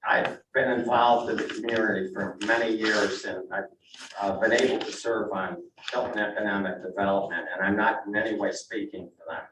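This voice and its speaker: A man's voice: lowish voice, fairly even intonation, normal speed, background noise. An older man, a real estate broker, giving plain, conversational testimony at a zoning meeting. He is losing his voice. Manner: plain, conversational, with frequent "uh" and restarts. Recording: echoey council chamber, mic at a distance.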